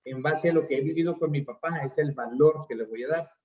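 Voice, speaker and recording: A man's voice: fairly high pitch, a little fast, muffled recording, crowd noise. A man giving an online seminar on addiction counseling, explaining concepts step by step with frequent fillers. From Mexico. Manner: explanatory, uses fillers like "este".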